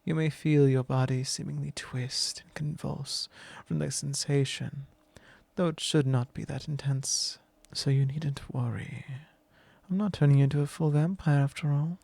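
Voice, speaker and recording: A man voicing a possessive, commanding male vampire lord in an ASMR roleplay, speaking slow, low and intimate, often in a whisper. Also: steady tone, vocal fry, a little mellow, no room sound.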